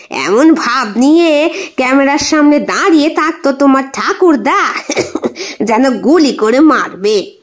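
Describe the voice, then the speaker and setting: A woman voicing a nostalgic old grandmother who reminisces slowly and wistfully in an audio story. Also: fairly high pitch. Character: nostalgic old grandmother recalling her ancestral mansion.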